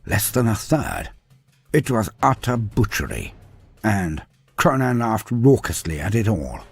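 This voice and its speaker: A man delivers dramatic fantasy narration with a highly animated, swooping delivery. His voice is slightly husky, and his storytelling is deliberate and theatrical, with faint music underneath.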